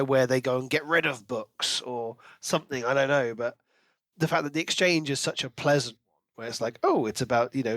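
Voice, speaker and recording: A man's voice: mid-range voice, a bit bright, no room sound, low-quality recording. Casual man chatting, very dry close sound. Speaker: podcast co-host. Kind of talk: casual book discussion.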